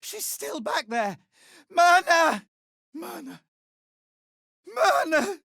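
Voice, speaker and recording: Anguished game gnome woman, high-pitched, swooping, pleading, dry studio sound. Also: sing-song, drawn-out words, vocal fry, fairly bright tone, dry recording, full character voice. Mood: desperate. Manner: pleading, emotional. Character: anguished female deep gnome pleading for her sister. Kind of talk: video game character dialogue.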